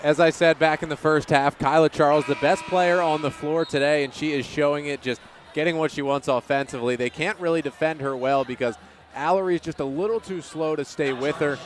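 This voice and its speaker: A man's voice: a little high, fairly quick, slight background noise. A man giving live color commentary and analysis at a basketball game, recorded in an arena.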